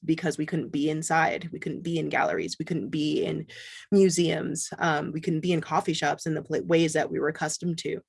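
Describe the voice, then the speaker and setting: A man from Barbados, speaking as an artist on a panel, relaxed and conversational with many fillers. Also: fairly high pitch, creaky, no echo. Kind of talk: panel discussion.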